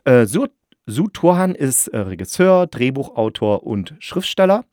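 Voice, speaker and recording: A man's voice: mid-range voice, big pitch swings, unhurried, a little mellow, no echo, studio quality. A man hosting a podcast in a casual, chatty style, often correcting himself as he reviews. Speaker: podcast host and radio drama reviewer.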